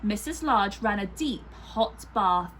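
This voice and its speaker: A woman narrating a children's story expressively, with deliberate emphasis aimed at young listeners. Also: mid-range voice, animated, fairly bright tone, slight background noise, low-quality recording.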